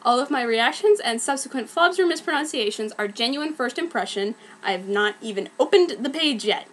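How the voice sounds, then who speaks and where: A young adult woman giving an amateur dramatic reading of badly written fanfiction, sounding out its mistakes deliberately. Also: on the high side, lively intonation, a bit bright, slight background noise.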